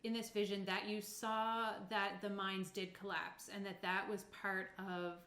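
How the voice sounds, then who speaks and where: A woman's voice: mid-range voice, flat delivery, a little slow, a bit bright. A woman narrating as game master of a tabletop roleplaying game in a casual, improvised, easygoing way. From Canada. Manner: giggly, easygoing, conversational. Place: indoor room with other players. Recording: roomy, echoing room sound.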